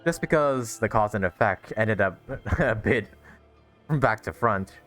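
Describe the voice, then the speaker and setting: A man's voice: on the low side, lively intonation, measured pace, a bit bright. A man playing through a video game, reacting expressively and reading dialogue aloud. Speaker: gaming streamer. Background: faint game music.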